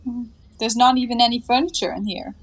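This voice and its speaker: A woman's voice: on the high side, steady tone, brisk, natural voice. A woman acting a playful, unabashed young 1920s woman in a stage comedy, with a coy, theatrical delivery. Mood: coy, amused. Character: breezy, playful, unabashed flapper-era young woman in her bath.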